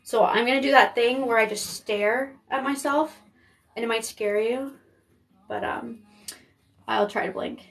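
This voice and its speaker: A woman's voice: on the high side, steady tone, measured pace, pauses often, fairly bright tone. Chatty young woman on a live stream. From Maine, United States. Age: 20s. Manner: chatty, rambling, with frequent fillers and giggles. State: pregnant. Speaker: makeup artist streaming live. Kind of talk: casual live-stream chat.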